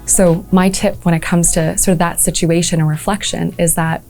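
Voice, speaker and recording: A woman in her thirties, originally from Malaysia, talks reflectively and earnestly about supporting entrepreneurs, with frequent ums and uhs. Her voice sits a little low and sounds mellow. Soft music plays faintly beneath her. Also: little pitch movement, moderate pace. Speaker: entrepreneurship program leader. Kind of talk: speaking to camera about her work.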